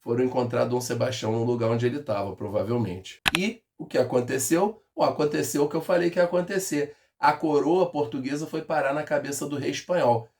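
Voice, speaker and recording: A man's voice: medium pitch, fairly quick, creaky, hardly any pauses, a little mellow, slight background noise. A man with a Carioca accent teaching a casual history review, telling it as a joking story full of slang. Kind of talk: casual exam review lesson.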